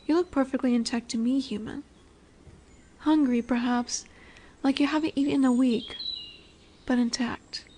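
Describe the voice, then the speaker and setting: A woman voicing a dominant lamia in a roleplay audio, curious and condescending yet nurturing, speaking deliberately with teasing, soothing near-whispers. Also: sits high, steady tone, dark tone, slight background noise, lightly acted. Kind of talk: slow roleplay audio monologue addressed to the listener. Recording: birdsong and woodland ambience behind. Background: birdsong, forest ambience.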